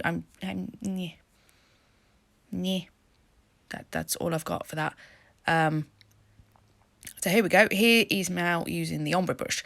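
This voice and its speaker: Giggly chatty woman narrating a nail video. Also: fairly bright tone. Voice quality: slightly deep for a woman. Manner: giggly, rambling, self-deprecating. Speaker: craft channel host. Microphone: voice-over mic.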